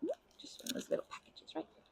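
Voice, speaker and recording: A woman playing a kindly, protective grandma in an ASMR comfort roleplay, speaking gently and hesitantly with fond pet names. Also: very fast, natural voice. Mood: gentle concern. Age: older. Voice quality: creaky, husky.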